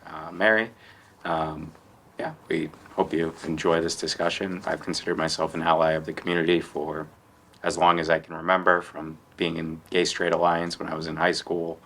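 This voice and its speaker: Deep voiced guy, flat delivery, casual panel intro, bit of room noise. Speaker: panelist, theater company co-owner.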